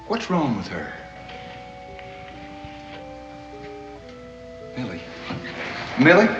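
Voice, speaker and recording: A man's voice: high-pitched, full character voice. An actor playing a blunt frontiersman who pleads with and threatens those around him. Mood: pleading. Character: angry frontiersman searching for a friend. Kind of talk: 1960s TV drama acting. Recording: echoey, dull old TV soundtrack with music.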